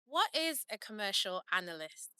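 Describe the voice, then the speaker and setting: Female podcast host, young adult, bright tone, smooth and clear, conversational questioning. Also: mid-range voice, big pitch swings, normal speed, no echo. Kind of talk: casual conversational interviewing.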